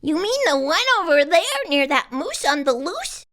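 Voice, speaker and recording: A woman's voice: sits high, very animated, moderate pace, a bit bright, voice pushed up, exaggerated voice. A woman voicing a self-doubting young schoolboy rock in a children's picture book, whiny and protesting. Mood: flustered, reluctant.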